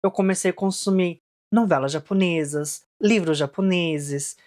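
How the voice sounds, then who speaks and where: Woman in her twenties, lowish voice, from japan, casual reflective vlog storytelling, lots of fillers. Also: moderate pace, darkish tone. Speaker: vlogger.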